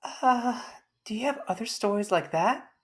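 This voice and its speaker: High, curious little girl, played by a woman. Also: animated, moderate pace, lightly acted. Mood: eager, curious. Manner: wide-eyed, questioning.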